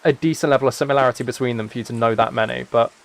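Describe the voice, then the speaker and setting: Young man, British accent, medium pitch, casual live-stream chat, rambling, thinking aloud. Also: fairly even intonation, very fast, vocal fry. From United Kingdom.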